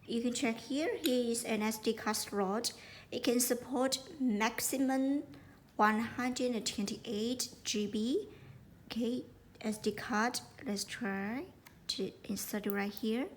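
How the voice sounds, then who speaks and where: A woman giving an unscripted product demonstration, speaking haltingly and often repeating words as she explains. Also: on the high side, steady tone, a little hiss or hum. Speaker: product presenter.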